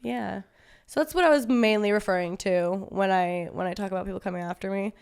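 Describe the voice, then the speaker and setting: A woman's voice: mid-range voice, a little fast, gravelly, darkish tone, smooth. A young woman guest on a podcast interview, talking casually and candidly with lots of fillers and a laugh-it-off attitude.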